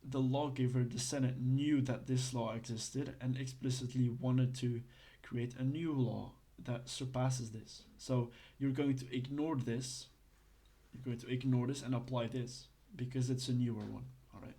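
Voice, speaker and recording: A man's voice: medium pitch, fairly even intonation, unhurried, mellow. A man from Belgium, giving a casual, hesitant lesson full of fillers and restarts. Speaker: instructor.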